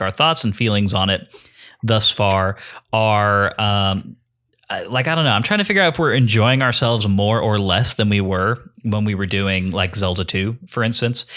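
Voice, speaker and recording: Male host, lower register, bantering podcast style with tongue-in-cheek formal phrasing. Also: pushed and bright. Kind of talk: casual bantering podcast chat.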